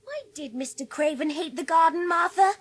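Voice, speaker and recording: A woman's voice: extremely high pitch, normal speed. A woman playing a lonely, sour, inquisitive little girl in a dramatised audiobook, prim and direct in her questions. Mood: insistent.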